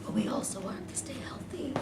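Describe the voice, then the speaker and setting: A woman's voice: high-pitched, very animated, quick pace, darkish tone. Distant, echoey worried mother played by a woman. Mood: concerned. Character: worried, protective mother of a teenage athlete. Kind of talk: staged play reading. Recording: echoey room, distant mic, noisy background.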